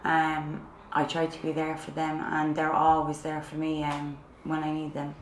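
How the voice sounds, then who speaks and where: Low flat woman with a Cork accent. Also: normal speed. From Cork, Ireland. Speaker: peer trainee. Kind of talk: recorded testimony. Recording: echoey room with faint noise.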